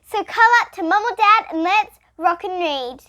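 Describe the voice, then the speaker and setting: A female reader, reading a children's picture book aloud in an expressive, emphatic way. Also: very high-pitched, normal speed.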